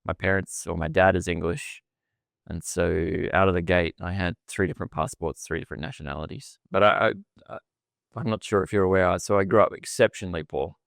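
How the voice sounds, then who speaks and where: Australian man, low smooth voice. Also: steady tone, a little fast, a bit bright, dry recording, studio quality. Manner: chatty and self-deprecating, often repeats words and laughs. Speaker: podcast guest. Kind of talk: casual storytelling interview.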